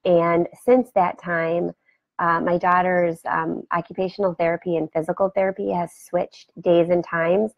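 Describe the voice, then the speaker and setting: A woman with a scratchy, gravelly voice, talking casually on a home live stream and greeting viewers as they arrive. Her voice sits fairly low, and the sound is dry and a little dull, like a webcam in a small room. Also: level tone, moderate pace, no room sound. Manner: chatty, rambling, greets viewers by name. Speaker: host. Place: home room beside a turtle aquarium. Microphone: webcam for a live video.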